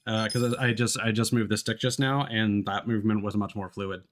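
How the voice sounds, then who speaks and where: A man's voice: fairly low pitch, level tone, normal speed, background noise. A man doing casual live commentary as he streams a video game. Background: loud video game music.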